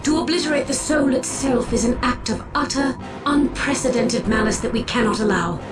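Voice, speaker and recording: An actress playing a solemn, commanding alien war seer in a video game, speaking gravely and formally with theatrical weight. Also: female voice, a little high, moderate pace, music in the background, close to an everyday voice. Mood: grave, defiant. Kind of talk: dramatic video game voice acting.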